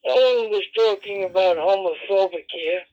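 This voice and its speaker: A man's voice: sits high, normal speed. A man on a two-way radio, an amateur operator arguing insistently and confrontationally, repeating himself. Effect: two-way radio transmission. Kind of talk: unscripted argument on air. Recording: muffled lo-fi digital two-way radio.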